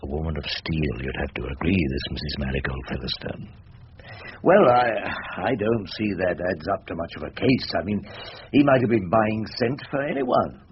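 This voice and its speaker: An actor playing a wry, world-weary older English barrister in a radio drama, with a dry, deliberate, sardonic way of talking. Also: male voice, low voice, close to an everyday voice. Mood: flustered, hedging. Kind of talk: radio drama acting with first-person narration. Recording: dull, noisy old radio recording.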